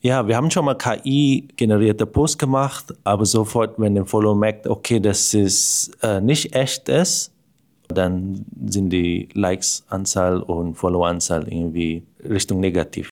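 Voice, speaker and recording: A man's voice: lowish voice, steady tone, unhurried, a little mellow. A male marketing employee answering interview questions in his own unscripted words.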